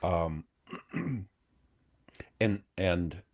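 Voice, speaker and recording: A man from Michigan with a Midwestern accent, talking in a soft, easy voice and pausing often with ums. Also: sits low, very animated, gravelly, no echo. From Flint, Michigan. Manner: slow, hesitant, many ums. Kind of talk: casual discussion.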